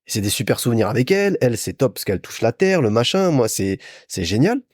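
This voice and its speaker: Casting: young male podcast host from France, chatty and informal, animated intonation, dry studio-like sound. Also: mid-range voice, fairly quick, dry recording. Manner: chatty, informal, addresses listener as a friend. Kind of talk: casual solo podcast monologue, storytelling.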